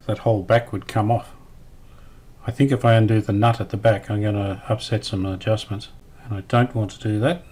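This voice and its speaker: Male restorer, lowish voice, casual how-to commentary, tentative thinking-aloud delivery. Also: darkish tone, slight background noise. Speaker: radio restorer presenting a repair series.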